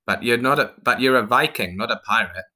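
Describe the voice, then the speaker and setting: A man's voice: mid-range voice, fairly quick, sharp tone, pitched above the performer's own voice. A man voicing a defensive, argumentative female clown with indignant retorts in a storybook reading.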